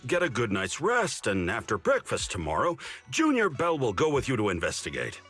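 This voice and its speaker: A man's voice: mid-range voice, huge pitch swings, normal speed, a bit bright, breathy edge, faint music behind, full character voice. A man playing a glib, eager young mystic-arts disciple in an anime-style game, cajoling like a theatrical salesman.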